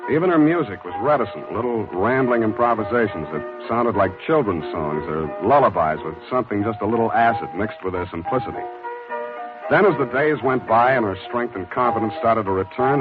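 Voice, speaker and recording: Guy playing hardboiled american radio gumshoe, lowish voice, deadpan and weary, old muffled 40s broadcast. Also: natural voice. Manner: clipped, wry, deadpan. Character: hard-boiled male private detective, wry, world-weary, tough but tender. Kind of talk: 1940s radio detective narration and acting.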